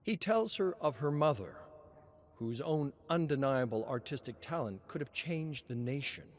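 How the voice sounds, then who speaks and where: A man narrating a story in a measured, expressive reading. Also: on the high side. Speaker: lore narrator.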